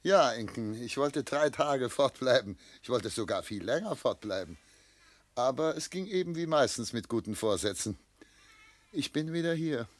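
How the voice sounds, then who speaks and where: A man's voice: medium pitch, animated, normal speed, dry recording, lightly acted. An actor playing a tormented, self-doubting man of seventy in love, speaking solemn literary German lines in a classic radio play. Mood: wry, relieved. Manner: solemn, literary, declamatory phrasing.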